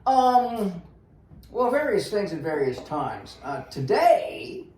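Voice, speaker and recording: An older man, in his sixties or above, casually discussing the Bible in a halting, plain-spoken way and thinking aloud with pauses. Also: sits high, very animated, darkish tone. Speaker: guest on a church read-along discussion. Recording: echoey room, faint noise.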